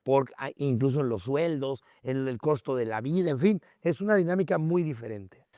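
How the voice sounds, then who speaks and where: A man's voice: medium pitch, normal speed, mellow, dry recording. A man with a Mexican accent explaining things as a podcast guest in a conversational, didactic way, with short tag questions to check in. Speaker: guest expert on history.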